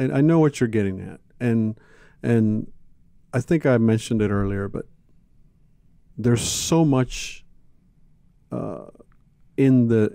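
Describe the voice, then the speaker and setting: A man's voice: on the low side, vocal fry, warm tone, dry recording. A man, talking casually and reflectively as an interview guest, close on a studio microphone. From Cuba. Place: small indoor studio.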